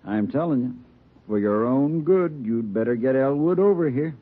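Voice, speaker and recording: An actor playing a stubborn, threatening, slangy bomber in a 1940s radio crime drama. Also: male voice, medium pitch, animated, moderate pace, close to an everyday voice. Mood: menacing. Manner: slangy, tough talk. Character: stubborn, threatening male bomber holding out for his jailed brother. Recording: old radio recording, phone-like, noisy, clicks.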